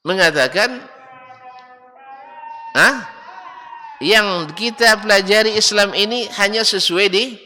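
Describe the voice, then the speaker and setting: A male Islamic preacher, with a lilt, giving a lecture and rising to loud, emphatic rebukes and mocking rhetorical questions. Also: high voice. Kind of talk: religious study lecture. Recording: reverberant hall with faint background noise.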